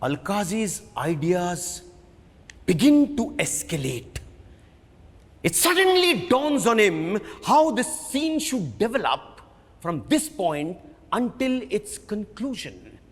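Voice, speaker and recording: A man's voice: high voice, sing-song. Theatrical Indian man reading in an echoey hall. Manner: theatrical, emphatic, with dramatic pauses. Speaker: stage actor giving a dramatic book reading. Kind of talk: dramatic literary reading, scripted narration. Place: large auditorium at a live reading. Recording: echoey hall with long reverb.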